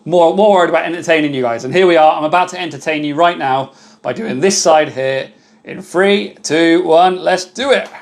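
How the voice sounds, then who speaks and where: A loud, chatty man hosting a live stream, his voice sitting a little high for a man. He greets his viewers one by one and talks easily while he works. A faint hum of machinery carries behind him. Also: normal speed, gravelly. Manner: chatty, thanks viewers by name.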